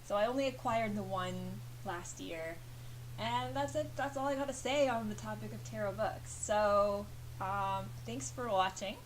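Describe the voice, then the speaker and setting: A woman's voice: mid-range voice, a little slow, slight background noise, rough recording. A woman chatting casually to camera as a vlogger, with lots of fillers and little laughs.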